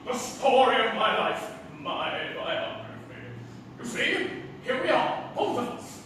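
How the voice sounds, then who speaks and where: A man's voice: very high-pitched, very animated, unhurried, pushed and bright, full character voice. An actor playing a deluded, blustering man who thinks he is the President, in exclamatory stage comedy style. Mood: excited, commanding. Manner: exclamatory, bombastic, commanding. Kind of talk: theatrical stage comedy acting. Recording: echoey theatre, far from mic, faint noise.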